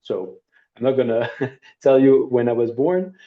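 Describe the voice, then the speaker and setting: A middle-aged man teaching a workshop over a video call, demonstrating a service in a relaxed, conversational way with hesitations and asides. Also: mid-range voice, unhurried. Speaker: workshop instructor. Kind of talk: live online training demo. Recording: dull lo-fi video call audio.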